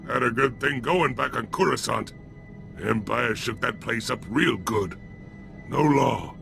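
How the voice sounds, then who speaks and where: An actor playing a brutish, bloodthirsty alien gangster in a video game, with a rough voice and crude, sneering street-thug talk. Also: male voice, mid-range voice, unhurried, a bit bright, soft background music. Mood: boastful, nostalgic. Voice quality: rough, gravelly. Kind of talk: video game character acting.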